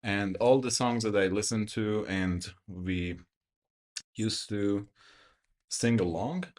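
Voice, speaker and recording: A man's voice: fairly low pitch, fairly even intonation, slow pace, darkish tone. A man in his twenties, a podcast guest, who speaks reflectively while telling stories from his past in a casual chat. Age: 20s. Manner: reflective, storytelling. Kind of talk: casual conversation.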